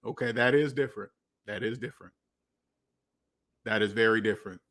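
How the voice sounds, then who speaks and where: A man's voice: lowish voice, a little fast, fairly bright tone. An American man hosting a casual livestream, chatting with viewers in a rambling way and repeating phrases for emphasis. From United States. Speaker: livestream host. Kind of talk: casual livestream chat, answering viewer comments.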